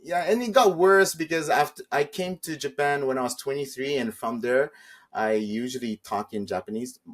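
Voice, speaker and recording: A man's voice: medium pitch, normal speed. A man from Saipan chatting casually as an interview guest, hesitant and modest, slightly nervous speaking English. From Saipan, Northern Mariana Islands. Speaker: podcast guest, travel vlogger.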